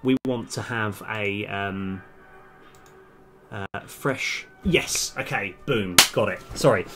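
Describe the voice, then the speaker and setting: Man, lowish voice, casual streamer commentary, hesitant thinking aloud, self-correcting, faint background music. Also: slow, a bit bright. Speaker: game developer live streamer.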